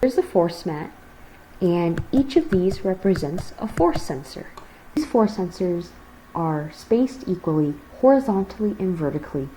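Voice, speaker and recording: A woman's voice: on the low side, dark tone, slight background noise, low-quality recording. A young woman presenting a product model and guiding listeners through its parts. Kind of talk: product demonstration.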